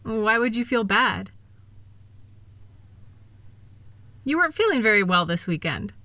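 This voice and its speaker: A woman plays an affectionate, capable wife in a comfort roleplay. Her voice is bright and recorded very dry and close. She is gentle and reassuring, with a light teasing touch. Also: a little high, very animated, brisk, no room sound. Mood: light reassurance. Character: kind, upbeat, capable wife soothing her insecure partner. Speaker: audio roleplay performer. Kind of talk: intimate comfort audio roleplay.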